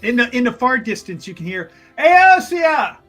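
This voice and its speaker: A man's voice: very high-pitched, fast talker, pushed and bright, slightly rough, faint music behind. A man chatting casually and expressively as a player in a tabletop roleplaying game.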